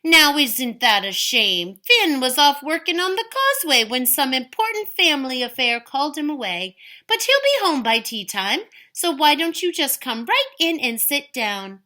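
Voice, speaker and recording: A crafty giant's wife who hides her cleverness behind honeyed, coaxing words, as a woman storyteller plays her. Her voice is bright and lilting, rising and falling sweetly. A storybook heroine who outwits with charm. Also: fairly high pitch, big pitch swings, a little slow, heavily acted. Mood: sweetly scheming. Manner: sugary, coaxing.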